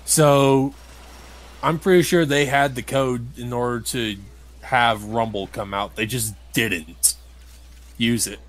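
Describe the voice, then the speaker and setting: A man talks casually over a video game he is playing, at a medium pitch. He has a grumbling, critical streak and swears mildly as he explains things like a let's play host. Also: steady tone, takes it slowly.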